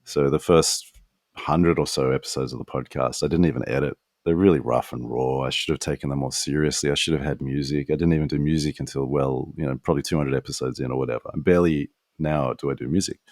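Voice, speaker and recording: Male podcast host, very deep voice, dry sound, reflective and self-critical. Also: fairly even intonation, darkish tone, no echo. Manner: reflective, self-critical, quick asides and restarts. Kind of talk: conversational interview answers. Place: apartment in New York.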